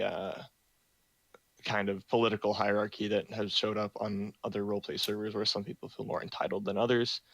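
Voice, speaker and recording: A man's voice: fairly low pitch, fairly bright tone, no room sound, poor audio. A young man hosting a roleplay community meeting over voice chat, reading the rules in a plain, self-described boring voice with many ums.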